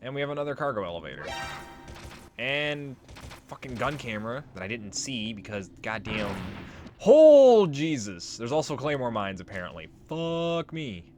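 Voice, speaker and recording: A man's voice: medium pitch, very animated, moderate pace, darkish tone, a little hiss or hum. A man giving casual let's play commentary on a video game, wry and freely swearing. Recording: voice over game music. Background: game music.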